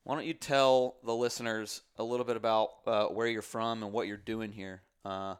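Casual male podcast host, low-ish and clear, easygoing banter. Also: normal speed. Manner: relaxed, joking, loose with fillers.

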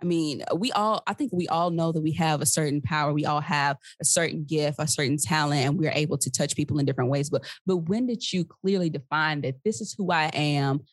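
Reflective young woman interviewer, low voice. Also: a little fast, few pauses, dry recording. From Mississippi, USA. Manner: reflective, emotional, searching for words. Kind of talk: earnest conversational interview.

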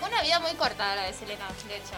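A young adult woman with an Argentine accent and a slightly shrill voice, chatting casually as a radio co-host relaying messages from listeners. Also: very animated, racing. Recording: music playing behind the voice. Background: music.

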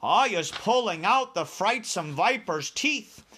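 A man voicing a kindly, crafty giant with deliberate, commanding delivery and quaint muddled grammar in a storybook. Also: high voice, lively intonation, sharp tone, slightly rough, pitched above the performer's own voice, heavily acted. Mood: crafty, instructing.

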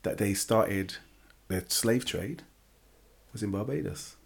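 A man born in England, co-hosting a casual discussion, talking haltingly with many fillers and restarts. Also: on the low side, vocal fry, slightly rough. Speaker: podcast co-host.

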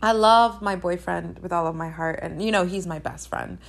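A woman's voice: on the low side, normal speed, creaky. A young woman hosting a podcast in a casual, candid chat, repeating phrases for emphasis, a native Spanish speaker. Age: young adult. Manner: chatty, candid, emphatic with repetition. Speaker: podcast host.

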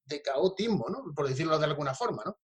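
A man's voice: a little high, animated, slightly rough. Chatty Andalusian man hosting a podcast. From Andalusia, Spain (Jaén). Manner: friendly, chatty, with restarts and quick interjections. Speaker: podcast host. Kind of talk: casual podcast interview.

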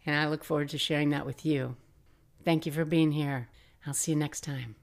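A woman in her sixties narrating personal memories as a podcast host, reflective and expressive with wry asides. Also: low voice, moderate pace. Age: 60s. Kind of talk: personal memoir storytelling, scripted narration.

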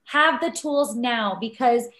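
An enthusiastic woman in her mid-twenties talks in a chatty, upbeat way, often repeating words for emphasis. Her voice sits a little high. The room gives her an echoey ring. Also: slow, fairly bright tone. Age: 20s. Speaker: podcast guest. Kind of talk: casual chat.

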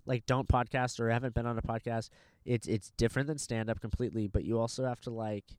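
A young man hosting a casual podcast, relaxed and profane, calling everyone dude. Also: mid-range voice, quick pace, clean tone, no room sound, clean studio sound. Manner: laid-back, swears a lot, says dude constantly. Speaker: podcast host and stand-up comic. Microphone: handheld microphone.

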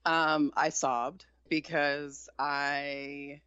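Woman guest with a low voice, low-key and candid, casual talk; dull lo-fi sound, very dry. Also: flat delivery, drawn-out words, a bit bright, clean tone, dry recording. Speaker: podcast guest, chef and author. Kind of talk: casual interview chat.

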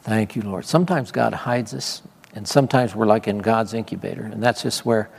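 A man's voice: on the low side, normal speed, creaky, a little mellow, husky. A man praying fervently over a church congregation as visiting preacher, repeating his words. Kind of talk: prayer and sermon. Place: church service. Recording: reverberant church hall.

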